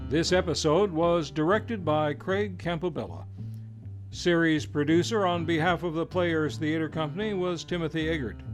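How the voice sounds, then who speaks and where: High-pitched man, formal old-time radio announcer. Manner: formal, theatrical, deliberate emphasis. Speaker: announcer and host. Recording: guitar music behind the voice. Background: plucked guitar music.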